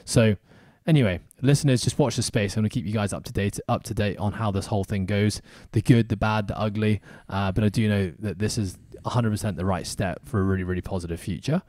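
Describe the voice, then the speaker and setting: A man hosting a podcast, talking in a chatty, upbeat way with joking asides. His voice sits a little low and sounds mellow and warm. The sound is dry and close, with no room echo. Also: quick pace, few pauses. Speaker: podcast host. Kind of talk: casual podcast interview. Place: podcast studio in Hong Kong.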